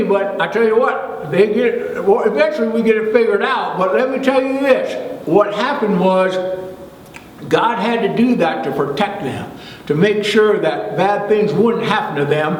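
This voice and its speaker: A man playing an excitable, boastful but good-hearted country boy who rambles folksily through a children's Bible story. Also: high voice, moderate pace, slight background noise, lightly acted. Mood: folksy, boastful. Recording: echoey hall, distant microphone.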